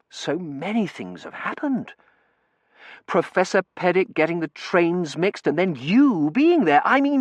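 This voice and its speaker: A man's voice: high-pitched, fairly bright tone, no room sound, voice pushed up. Een man die een opgewonden babbelende jongeman speelt. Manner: breathless eager patter, theatrical quoting. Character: cheerful chattering young gentleman, excitable. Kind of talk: audiobook character voice.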